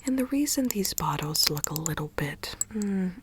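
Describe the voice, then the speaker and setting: A woman whispering in a breathy, unvoiced voice, gently role-playing a consultant who explains things to a client in ASMR style. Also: fairly low pitch, expressive, moderate pace, background noise.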